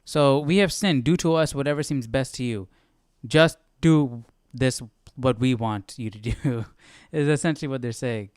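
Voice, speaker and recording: A man's voice: mid-range voice, normal speed, clean tone, dry recording, studio quality. A man hosting a Bible study livestream with casual, conversational commentary, repeating phrases for emphasis.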